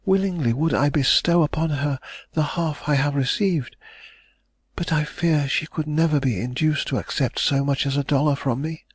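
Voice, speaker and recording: A man's voice: fairly high pitch, moderate pace, husky, no echo, pitched above the performer's own voice. An anxious, agitated young heiress, voiced by a man reading a novel aloud, pleading in a raised, breathless voice. The sound is close and dry. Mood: troubled.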